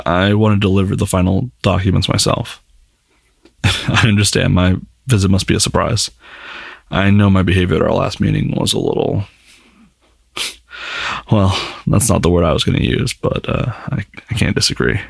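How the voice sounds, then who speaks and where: Man as a flustered young lord, husky and low. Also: no room sound, full character voice. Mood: sheepish. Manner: halting, flustered, apologetic. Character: awkward, eager young nobleman suitor. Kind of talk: scripted ASMR roleplay acting.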